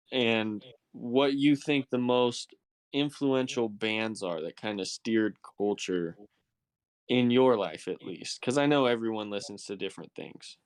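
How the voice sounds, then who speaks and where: Guy podcast host, casual questions, average pitch, dry sound. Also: smooth, dry recording. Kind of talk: casual interview.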